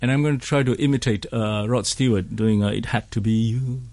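A man's voice: medium pitch, fairly quick, darkish tone, dry recording. A man whose first language is Hokkien, a choir director and singer, talking as a guest on a radio show in a hesitant way, full of fillers and chuckles. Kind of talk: casual radio interview. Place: radio studio.

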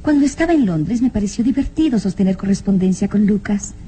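A woman's voice: mid-range voice, animated, fast, warm tone, a little hiss or hum, close to an everyday voice. An actress playing a doubtful young aristocratic woman in an old radio serial, wistful and dramatic. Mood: anxious regret.

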